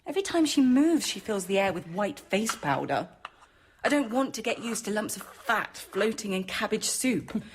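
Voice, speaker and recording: An actress playing a bitter, defiant sixteen-year-old noble girl forced to be a novice, sullen and sharp, in a radio drama. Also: medium pitch, sing-song, moderate pace, slight background noise, close to an everyday voice. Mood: scornful.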